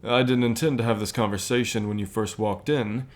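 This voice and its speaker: A man playing a calm, encouraging company boss in an ASMR roleplay, speaking in a measured, unhurried way with pauses. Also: lowish voice, close to an everyday voice. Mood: warm, sincere. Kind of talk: ASMR roleplay, softly acted one-sided dialogue.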